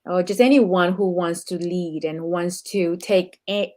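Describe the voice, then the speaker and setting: A woman from Singapore with a lowish voice, speaking in a reflective, searching way. She co-hosts a relaxed conversation about leadership and asks thoughtful follow-up questions. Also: unhurried. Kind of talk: conversational podcast interview.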